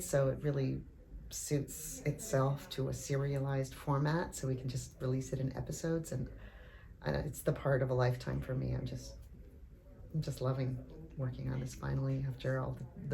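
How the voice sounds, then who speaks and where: Very low, creaky-voiced woman. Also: dark tone. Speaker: actor with an audio drama company. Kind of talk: casual interview to camera.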